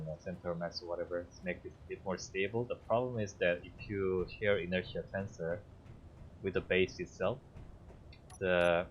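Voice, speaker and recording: Deep-voiced Korean-native man thinking aloud. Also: dull audio, a little hiss or hum. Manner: halting, thinking aloud with many fillers. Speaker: streamer. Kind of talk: casual gameplay commentary.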